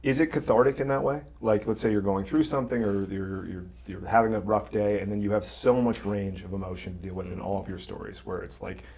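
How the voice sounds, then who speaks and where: A man's voice: on the low side, steady tone, fairly quick, hardly any pauses, darkish tone, slightly rough. A man co-hosting a casual interview, speaking in a conversational way.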